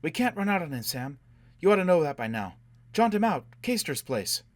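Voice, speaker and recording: A man's voice: mid-range voice, very animated, brisk, a little mellow, breathy edge, no echo, voice pushed up. A man voicing a fierce, stubborn woman with vehement, urgent delivery in a science-fiction adventure story. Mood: urgent, pleading. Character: fierce, stubborn, fiery young woman in a pulp sci-fi adventure.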